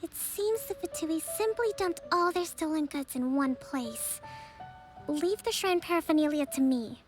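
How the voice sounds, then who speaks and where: A composed young priestess speaks with quiet authority in a game. Her voice sits high and clear, every sentence measured and formal. Soft music plays underneath. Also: animated. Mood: calm. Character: young female divine priestess, calm and measured. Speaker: island leader.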